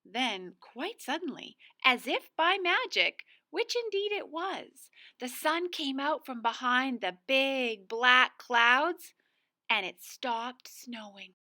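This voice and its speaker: A woman's voice: high voice, lively intonation, takes it slowly, bright, forceful tone. A woman reading a storybook aloud expressively for young children. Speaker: storybook reader, school staff.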